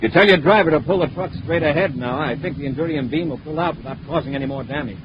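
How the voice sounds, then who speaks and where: A man acting as a level-headed commander of a space patrol in a 1950s radio serial. He is decisive and talks fast at a middle pitch. The old recording is dull, with very heavy noise. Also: natural voice. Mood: composed, firm.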